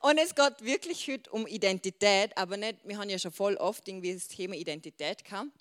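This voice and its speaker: A young woman in her twenties gives an informal talk to a group. She has a Swiss German accent and a mid-pitched voice. Her manner is chatty, with English words mixed into her sentences. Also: a little fast. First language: Swiss German dialect. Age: 20s. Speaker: youth group speaker.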